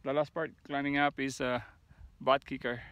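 A man in his thirties filming himself on a mountain hike, talking in a casual, unhurried way with many fillers and restarts, recorded on a phone held at arm's length outdoors. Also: mid-range voice, little pitch movement, fairly bright tone, smooth, dry recording. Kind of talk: casual hiking vlog narration.

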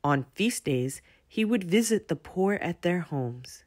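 A young woman reading aloud and reflecting for children in a deliberate, carefully enunciated way. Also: on the low side, animated, a little mellow. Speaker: children's story reader and presenter. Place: indoors in a home room with windows.

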